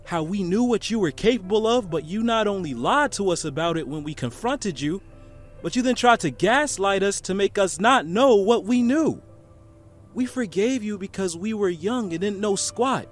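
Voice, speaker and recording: An actor in an audio drama playing a bitter, accusing friend who feels betrayed, sarcastic and confrontational. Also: male voice, high-pitched, lively intonation, moderate pace, faint music behind.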